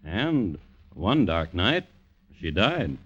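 Low-voiced, droll macabre storyteller. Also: male voice, heavily acted. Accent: American. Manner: deadpan, deliberate. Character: droll, macabre male crime storyteller, dryly formal and unhurried. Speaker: narrator and host. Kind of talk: 1950s radio narration, scripted. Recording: muffled vintage radio recording.

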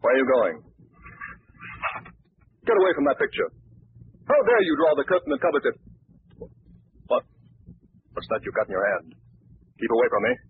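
A man's voice: mid-range voice, huge pitch swings, normal speed, pauses often. An actor playing an arrogant theater man under threat in a 1940s radio drama. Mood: frightened. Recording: tinny old radio broadcast with hiss.

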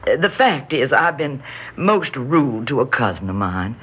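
A woman's voice: extremely low pitch, very animated, unhurried, a bit bright, breathy edge, exaggerated voice. An actress in an old-time radio drama playing a genteel, lonely shopkeeper who dotes on her cousin, fluttery and pleading in manner. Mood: polite, demure. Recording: dull noisy old radio recording.

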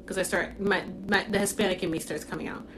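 A woman's voice: mid-range voice, steady tone, fast talker, background noise. Blunt, candid young woman on a livestream. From Puerto Rico, raised in Florida. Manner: blunt and candid, swears freely, many "like" fillers. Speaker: livestream host. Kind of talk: casual livestream chat answering comments. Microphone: phone.

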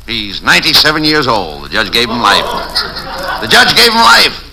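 An actor playing an excitable, gullible police lieutenant, the animated straight man in an old-time radio comedy. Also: mid-range voice, normal speed, vocal fry. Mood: pleased. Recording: noisy, crackling vintage radio recording.

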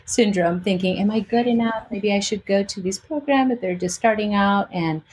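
A woman's voice: mid-range voice, moderate pace, a little mellow, low-quality recording. A woman in her forties with a slight Albanian-Greek accent, casually telling personal stories as an interview guest. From Albania and Greece.

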